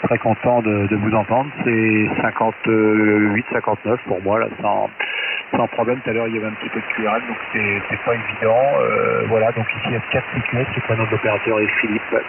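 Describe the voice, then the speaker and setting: A man chatting casually as an amateur radio operator over a shortwave transmission, halting as he goes. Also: fairly low pitch, little pitch movement, creaky. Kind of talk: casual ham radio chat. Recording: narrow-band shortwave radio, loud hiss, distant.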